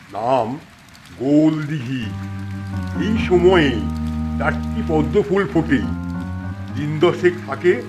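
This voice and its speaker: A male storyteller, played by an actor narrating a cartoon ghost story. He speaks slowly and deliberately in a fairly high voice, with music clearly behind him. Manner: measured storytelling. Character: male storyteller recounting his college-days village ghost tale.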